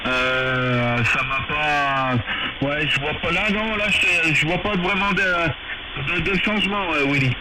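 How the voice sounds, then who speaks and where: A man chatting casually as an amateur radio operator, giving hesitant, measured feedback through a two-way radio. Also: a little high. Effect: two-way radio transmission. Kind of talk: casual radio contact chat. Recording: very noisy, hissy radio transmission.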